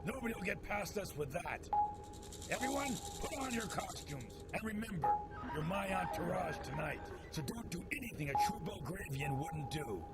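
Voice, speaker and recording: A man's voice: sits high, expressive, moderate pace, over loud music. A man playing a brash, cocky film director turned adventurer with an American accent, in old radio serial style. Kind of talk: 1940s radio serial acting.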